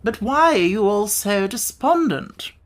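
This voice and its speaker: A woman's voice: medium pitch, expressive, unhurried, voice pushed up. A woman voicing a pompous, educated male insect in a storybook reading, speaking in a grand, impressive manner. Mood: lofty.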